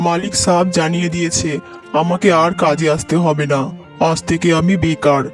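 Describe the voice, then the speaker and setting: A man's voice: on the high side, fairly even intonation, moderate pace, lightly acted. A man playing an earnest, worried poor labourer and husband in a melodramatic cartoon, with a pleading manner. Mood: dismayed. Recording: music behind the voice.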